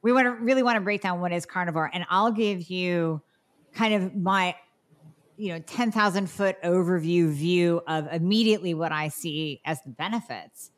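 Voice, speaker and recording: A woman's voice: fairly low pitch, normal speed. A woman hosting a health podcast, affirming her guest and explaining things at length. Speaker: podcast host. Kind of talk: casual health podcast talk.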